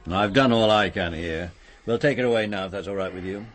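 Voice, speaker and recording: An actor playing a brisk, darkly wry police doctor in a radio drama, clinical and matter-of-fact. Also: male voice, on the low side, faint music behind, close to an everyday voice.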